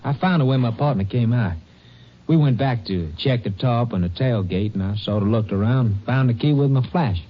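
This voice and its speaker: A man's voice: medium pitch, normal speed, natural voice. An actor playing a long-haul truck driver who gives his account in a folksy, rambling drawl. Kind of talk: 1950s radio drama acting. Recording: muffled vintage radio broadcast.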